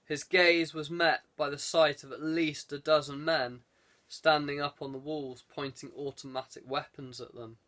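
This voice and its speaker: A man's voice: a little high, flat delivery, normal speed, a bit bright. A man reading an adventure novel aloud as scripted audiobook narration. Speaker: audiobook reader.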